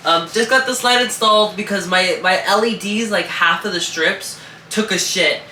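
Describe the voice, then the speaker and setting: A man's voice: high-pitched, normal speed, pushed and bright, a little hiss or hum. A congested young man rambling casually and swearing as he shows off his rat shed to viewers. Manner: rambling, profane, chatty with viewers. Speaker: reptile and rat breeder vlogger. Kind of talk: casual show-and-tell vlog. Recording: echoey shed room.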